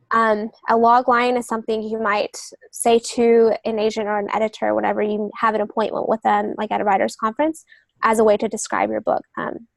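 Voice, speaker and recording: A woman's voice: a little high, creaky, dry recording. A woman speaking as a guest on a writing podcast, explaining things in conversational interview talk. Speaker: guest novelist and literary agent.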